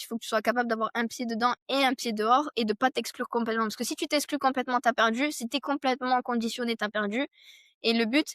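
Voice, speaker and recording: Young woman, higher-pitched, close dry sound. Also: fairly bright tone, dry recording. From France. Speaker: podcast guest, entrepreneur. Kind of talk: casual conversational interview answers.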